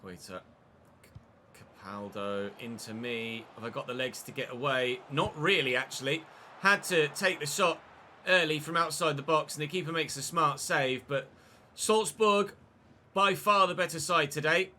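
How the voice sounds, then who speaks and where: Young guy commentating his football game, super bright punchy voice, kinda high, gets shouty and hyped, little music behind. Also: normal speed, slight background noise. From England. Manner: chatty, excitable, shouts on goals. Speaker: gaming YouTuber.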